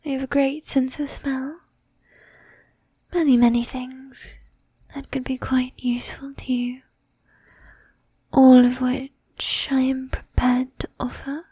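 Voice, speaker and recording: ASMR roleplay: actress as possessive, courtly female vampire, high whispery voice, unhurried, very even melody. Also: no room sound, full character voice. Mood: earnest, possessive. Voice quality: breathy, whispery. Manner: formal, archaic diction, slow and deliberate. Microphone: close microphone, dry.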